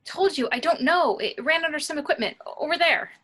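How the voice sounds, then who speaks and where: A woman's voice: high voice, a little fast, fairly bright tone. A woman reading a tense, insistent morgue doctor in an amateur film table read, urgent in manner. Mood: frightened.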